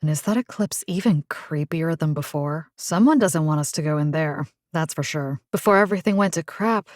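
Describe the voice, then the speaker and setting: A woman's voice: low-pitched, moderate pace, dry recording, close to an everyday voice. A woman playing a plucky, wry game fighter, close and dry. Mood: sardonic. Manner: casual, sardonic. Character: plucky, wry young woman rescue fighter, protective and casual. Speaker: video game voice actor. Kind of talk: video game voice lines.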